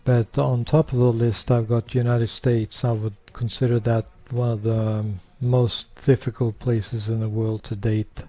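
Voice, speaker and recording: A man's voice: medium pitch, level tone, a little slow, few pauses, dark tone, soft background music. An older man from Norway talking casually to camera, rambling with many fillers and sharing opinions. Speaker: vlogger.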